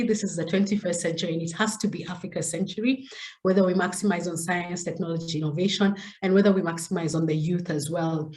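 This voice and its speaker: Female trainer, Kenyan accent, lower register, webinar presentation. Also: dark tone. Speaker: science communication trainer, session presenter. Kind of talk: webinar presentation, self-introduction.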